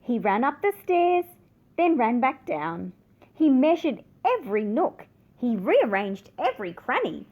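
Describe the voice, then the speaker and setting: High, animated woman reading a storybook. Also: a little slow, phone-quality sound. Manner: expressive, dramatic emphasis for children. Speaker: picture book reader. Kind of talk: scripted children's story narration.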